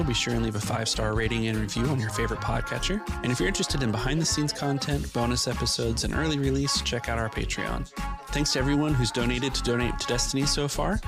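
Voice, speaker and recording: A man's voice: mid-range voice, vocal fry. A man hosting a podcast, reading a scripted outro with thanks and reminders to listeners. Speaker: podcast host. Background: music.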